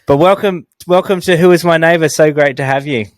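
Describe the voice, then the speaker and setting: A man's voice: on the high side, brisk, no echo. A man hosting a podcast interview, enthusiastic and full of restarts, speaking into a headset mic. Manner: enthusiastic, many restarts and fillers. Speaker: podcast host.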